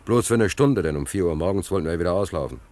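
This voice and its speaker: A man's voice: low-pitched, fast talker. A man playing a naive, agitated stranded sailor in a German radio play, telling his woes in an emphatic, colloquial, repetitive way. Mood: reminiscing. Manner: excitable storyteller, emphatic, colloquial, repeats himself. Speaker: actor.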